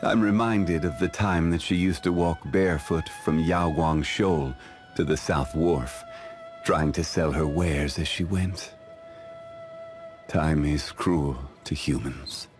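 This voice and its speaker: A male actor playing a calm, dignified, ancient immortal man in a video game, speaking in a measured, formal, refined way. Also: extremely low pitch, soft background music. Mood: reflective, composed. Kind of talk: scripted video game character voice lines.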